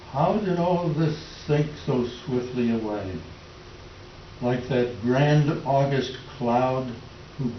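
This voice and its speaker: A man's voice: medium pitch, lightly acted. A man playing a worn-out, despairing Depression-era tenant farmer in a plaintive staged reading with rural folk phrasing. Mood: mournful, wondering. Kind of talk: readers theater dramatic monologue. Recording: distant mic, echoey room, faint noise, dull.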